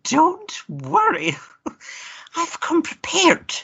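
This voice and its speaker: An Irish woman playing a lusty, teasing lover in a radio play, with a breathy, flirtatious drawl. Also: very high voice, wildly swooping pitch, very slow, a bit bright, highs cut off, full character voice. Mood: seductive, playful.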